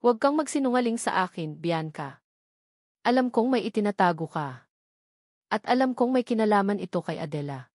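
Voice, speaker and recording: A woman's voice: medium pitch, expressive, normal speed, pauses often, darkish tone, no room sound, close to an everyday voice. An earnest doctor and protective father in a romantic drama, played by a woman narrator. He speaks with pleading intensity, in a dry, close recording. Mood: stern, accusing.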